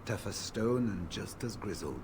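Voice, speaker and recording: A man playing a weary, grave veteran warrior in a fantasy video game, speaking in a measured, solemn and wry way. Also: fairly low pitch, lively intonation, a little mellow, rough recording. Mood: wistful. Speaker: game character.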